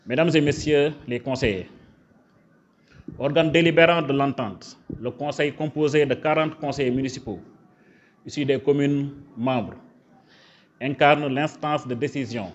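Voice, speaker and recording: Senegalese official, dull lo-fi sound. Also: male voice, medium pitch. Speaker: government official, prefect. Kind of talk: formal official ceremony speech. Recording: dull, lo-fi event recording.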